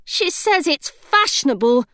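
A spirited, stubborn young girl, as a woman reading the story puts her on, earnest and lively. Her voice sits fairly high and moves a lot, clean and dry, as if close to the mic. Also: measured pace, dry recording, heavily acted. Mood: wry.

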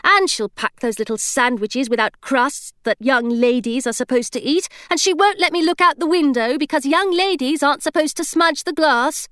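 A woman's voice: high voice, normal speed, few pauses, fairly bright tone, dry recording, studio quality, voice pushed up. A woman reading aloud, voicing a spirited, stubborn young girl who speaks earnestly.

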